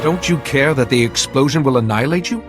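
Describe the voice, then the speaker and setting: An actor playing a resolute, composed Jedi leader in a video game, measured and authoritative. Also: male voice, medium pitch, music in the background, close to an everyday voice. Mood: stern. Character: resolute, composed male Jedi hero leading a mission. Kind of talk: scripted video game acting.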